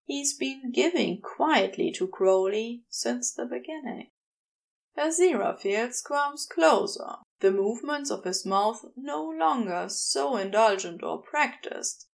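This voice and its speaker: A woman narrating scripted fiction as an audiobook-style reader, in an intimate, measured storytelling manner. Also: mid-range voice, creaky. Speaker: podfic reader.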